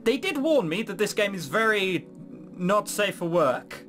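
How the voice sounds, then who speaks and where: A very animated gaming host with a mid-pitched voice gives casual, sardonic commentary. The delivery swings up and down in incredulous, sweary asides, with faint music underneath. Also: a little slow. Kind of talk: casual let's play commentary, reading game dialogue aloud.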